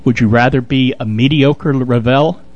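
A man co-hosting a radio show, telling anecdotes conversationally with a halting build-up. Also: mid-range voice, steady tone, a little mellow, muffled recording.

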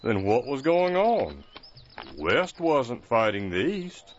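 A man's voice: medium pitch, huge pitch swings, takes it slowly, slight background noise. A man voicing a blind caretaker who is folksy, patient and kind. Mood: wry. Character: blind male caretaker, gentle and wry. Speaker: nurse to wounded soldiers. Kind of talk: audio drama acting. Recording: muffled lo-fi recording.

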